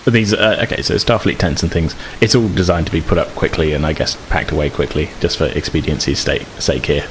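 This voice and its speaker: A man running a tabletop roleplaying game as game master, improvising narration and haltingly repeating words as he thinks. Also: rumbling low, fairly even intonation, poor audio. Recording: faint rain ambience noise.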